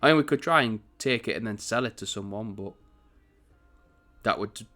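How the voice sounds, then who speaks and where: Chatty man with a croaky voice. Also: fairly low pitch, moderate pace, bright, forceful tone, faint music behind. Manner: chatty, rambling, self-correcting. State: croaky morning voice. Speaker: gaming channel host. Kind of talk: casual strategy game commentary.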